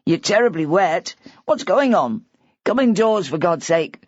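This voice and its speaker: Woman doing a cheerful man, breezy, higher voice, a bit distant, husky. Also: animated, a little slow, darkish tone, no room sound, muffled recording.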